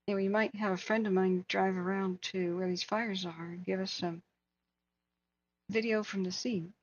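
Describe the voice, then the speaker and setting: A woman hosting a wildfire tracking livestream, hoarse from hours of talking, speaking earnestly and answering viewers by name. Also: mid-range voice, fairly even intonation, moderate pace, no echo, dull audio. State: hoarse, losing her voice. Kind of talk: casual livestream chat.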